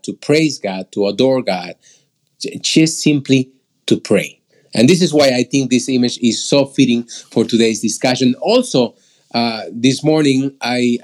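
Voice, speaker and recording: Host, man from Peru, middle-aged, reflective faith talk over a video call, measured mid-range voice. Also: mid-range voice, a little mellow. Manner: reflective, repeats words while searching. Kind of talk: faith discussion hosting.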